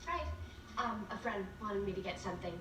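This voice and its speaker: A woman's voice: medium pitch, lively intonation, a little fast, heavy background noise, natural voice. Quippy young slayer, played by a woman, distant. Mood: guarded. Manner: wry, sarcastic banter. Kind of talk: television drama acting. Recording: roomy, distant playback with music behind.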